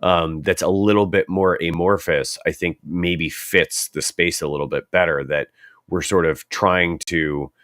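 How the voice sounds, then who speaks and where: A man with a very low voice, stuffed up and dry-throated from a head cold. He muses his way through his points slowly, full of restarts and fillers. The sound is close and dry, with no room around it. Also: fairly even intonation, fairly bright tone, no echo. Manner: reflective, thinks aloud with many fillers and restarts. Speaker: co-host. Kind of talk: casual panel discussion in a social audio room.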